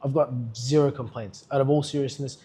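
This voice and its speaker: Mellow-voiced, eager young Australian man. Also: medium pitch, fairly even intonation, husky. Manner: enthusiastic, slightly halting. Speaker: student at an education camp. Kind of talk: casual interview answers.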